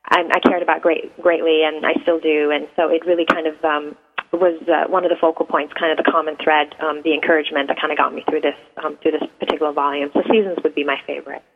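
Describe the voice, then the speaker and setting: A woman's voice: sits low, little pitch movement, fast talker. A woman in her thirties from Iran, a native Persian speaker, answering radio interview questions hesitantly and reflectively, with many ums. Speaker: guest poet. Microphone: phone. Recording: tinny phone line.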